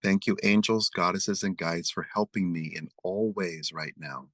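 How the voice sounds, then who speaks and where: Low-voiced man leading guided prayer. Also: a bit bright, no echo, studio quality. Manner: slow, soothing, leads spoken affirmations. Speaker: spiritual meditation leader.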